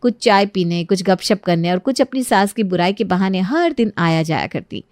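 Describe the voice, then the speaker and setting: A woman's voice: mid-range voice, lively intonation, normal speed, a little mellow, no room sound. Female storyteller, dry studio sound. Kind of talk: scripted story narration.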